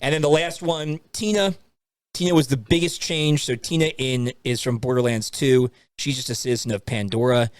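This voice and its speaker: A man's voice: mid-range voice, fairly even intonation, normal speed, smooth, dry recording. Dry close-miked man, casual podcast banter. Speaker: podcast host. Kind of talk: casual banter about films and games.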